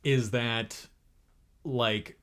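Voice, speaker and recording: A young man in a casual podcast conversation who speaks at a mid pitch. He rambles, leans on filler words and circles back to restart his sentences. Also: steady tone, extremely slow, a bit bright. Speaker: podcast co-host. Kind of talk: casual chat.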